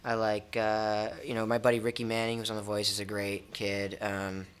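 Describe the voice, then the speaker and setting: A man's voice: fairly low pitch, monotone, moderate pace, a bit bright, clear, resonant tone. A young man with an American accent chatting casually as an interviewed musician, in an informal, hesitant, repetitive way. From United States. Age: young adult. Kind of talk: casual chat. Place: indoor music studio. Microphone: stand microphone.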